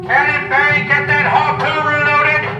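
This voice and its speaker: An actor playing a gruff, cussing submarine officer who barks commands in a live steampunk radio drama. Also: male voice, extremely high pitch, flat delivery, unhurried, dull audio. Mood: commanding, urgent. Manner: barking, commanding. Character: gruff, cussing submarine officer barking orders, grimly humorous. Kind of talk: live audio drama acting. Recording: echoey live hall, distant, over loud music.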